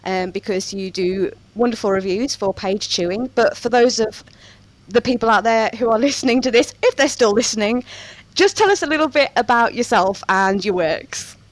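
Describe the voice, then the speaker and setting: Female podcast host, higher-pitched, chatty and wry, putting questions in an informal, playful way. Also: moderate pace, no echo. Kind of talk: casual interview chat.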